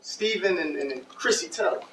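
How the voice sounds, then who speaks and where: A wary American street hustler, acted by a man on stage. His voice swings widely in pitch as he talks cagey slang. The sound is echoey with faint noise. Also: expressive, normal speed. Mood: loosening up. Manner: cagey, casual street talk. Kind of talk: stage play acting.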